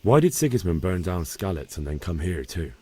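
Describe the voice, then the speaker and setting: An actor playing an earnest, inquisitive young blacksmith's son in a medieval video game. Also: male voice, low-pitched, sing-song, fairly quick, darkish tone, husky, exaggerated voice. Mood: earnest, curious. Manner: questioning, earnest. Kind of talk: video game cutscene acting.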